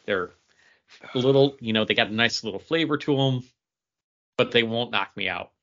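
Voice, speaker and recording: A man's voice: mid-range voice, normal speed, gravelly, fairly bright tone. Man chatting casually, dull lo-fi sound. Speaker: podcast co-host. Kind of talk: casual chat.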